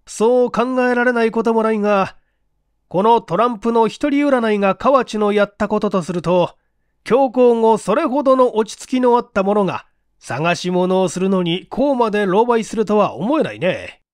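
A male narrator plays a middle-aged detective chief in a mystery audiobook. He is composed and authoritative, reasoning step by step. The pitch sits high for a man and moves widely, in a very dry recording. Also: expressive, dry recording. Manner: measured, authoritative, reasoning aloud. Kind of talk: audiobook character acting.

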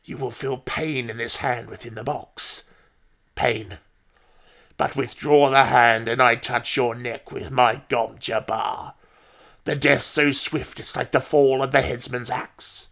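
Man voicing a menacing, imperious old woman, slow-spoken. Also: mid-range voice, steady tone, creaky, fairly bright tone. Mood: cold menace. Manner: commanding, taunting, deliberate.

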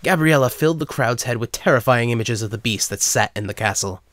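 A man's voice: mid-range voice, fast, a bit bright, no room sound. A man narrating a scripted dramatic story as the narrator.

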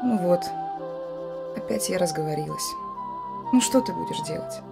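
An actress in a radio play voicing a gruff but emotional woman commander of a wartime partisan detachment. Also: lowish voice, animated, moderate pace, over loud music. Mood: gruff, wistful. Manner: commanding, urgent, broken phrases.

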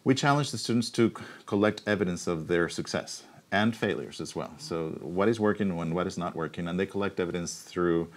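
A man in his forties answering interview questions in a studio, thoughtful and explanatory, with frequent pauses and restarts, recorded on a lapel mic. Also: deep voice. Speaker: university researcher, keynote guest. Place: TV studio set.

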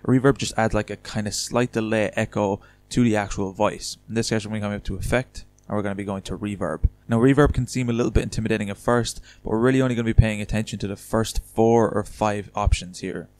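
A man with a fairly low voice talks quickly through a casual software tutorial. He explains each step informally and chattily, as a voice-over host. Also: fairly even intonation.

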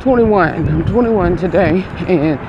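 A man's voice: high-pitched, lively intonation, a little slow, muffled recording, noisy background. A man in his twenties vlogging casually while walking outdoors on a street, talking through an earbud mic and rambling with frequent restarts. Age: 20s. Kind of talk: casual vlog. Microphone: earbud headset mic.

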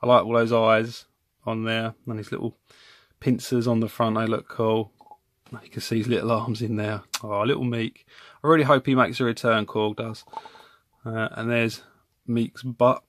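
A man's voice: on the low side, little pitch movement, normal speed, no room sound. A man casually reviewing a collectible figure at home, talking from behind the camera in a rambling, self-correcting way. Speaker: toy reviewer. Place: home room with toy shelves.

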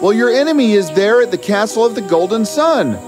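A man's voice: sits high, expressive, a little fast, voice pushed up. A man voicing an eager, bold young fairy tale hero in a cartoon, earnest and exclamatory. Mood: determined. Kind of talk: animated fairy tale dialogue. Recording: music behind the voice.

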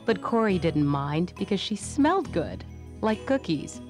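Lowish-voiced woman reading a children's story. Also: sing-song, measured pace, darkish tone, soft background music. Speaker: storybook reader. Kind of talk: scripted children's story narration.

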